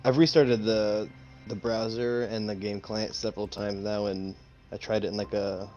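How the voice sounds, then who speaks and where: Casual male gamer, slightly low voice. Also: level tone, normal speed, a little mellow, dull audio. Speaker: tabletop game player. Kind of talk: casual chat. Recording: music playing underneath. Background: music.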